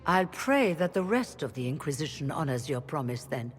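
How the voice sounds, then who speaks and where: Formal, anxious mage leader. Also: female voice, sits low, very animated, moderate pace, faint music behind. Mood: resigned. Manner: formal, pleading. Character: dignified, anxious female leader of mages. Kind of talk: video game cutscene acting.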